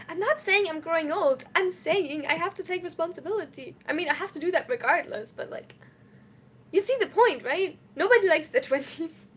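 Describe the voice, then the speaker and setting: A woman's voice: extremely high pitch, animated, moderate pace, slight background noise. A woman of twenty-one chatting casually on a livestream, answering viewer questions in a giggly way full of "like" and "um". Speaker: streamer and college student.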